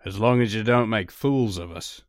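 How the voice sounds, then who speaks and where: A man's voice: on the low side, normal speed, no echo, studio quality, lightly acted. A man playing a skeptical, proud ally in an amateur audio drama. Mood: skeptical, grudging. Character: skeptical, proud man who knows secret tunnels.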